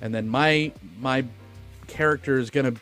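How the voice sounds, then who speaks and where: A man co-hosting a podcast, talking casually about film in animated, stop-start phrases with some swearing. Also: mid-range voice, moderate pace, faint music behind. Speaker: podcast co-host.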